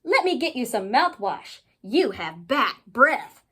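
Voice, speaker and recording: Giggly ghoul schoolgirl comedian, read by a woman: high, lively swoops, playful punchlines, storybook style. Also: slow, slightly rough, heavily acted. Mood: cheeky joking. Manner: punchy, playful joke delivery. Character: joke-cracking, giggly young girl ghoul, cheeky schoolkid comedian.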